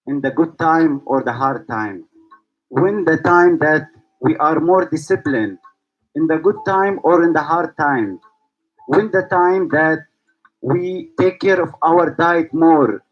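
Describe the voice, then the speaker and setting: A man's voice: fairly high pitch, dull audio. A man from Syria, founder and host of a yoga school, speaking to online viewers and asking repeated rhetorical questions. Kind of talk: reflective talk to online viewers.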